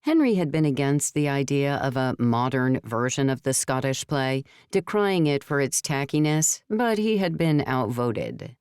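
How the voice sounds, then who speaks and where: A woman reading scripted narration for a novel in a measured storytelling manner. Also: rumbling low, sing-song, darkish tone, clean tone, dry recording, clean studio sound. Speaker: audiobook narrator.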